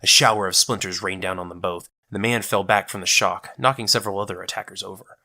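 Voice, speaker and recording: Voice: low voice, fairly even intonation, fast, bright, forceful tone. A narrator reading a scripted action story in a dramatic style.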